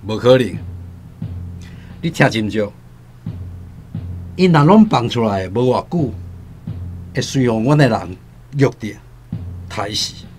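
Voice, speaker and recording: Stern menacing village chief, taiwanese accent, radio drama, faint music behind. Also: male voice, medium pitch, lively intonation, takes it slowly, long pauses, darkish tone. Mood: cold, threatening.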